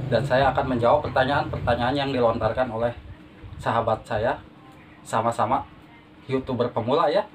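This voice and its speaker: A man speaks as a guest on a casual podcast. He is humble and a little halting, with an average-pitched voice. The amateur recording carries faint noise. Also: fairly even intonation. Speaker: podcast guest, beginner YouTuber. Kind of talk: casual interview answers. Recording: noisy amateur phone recording.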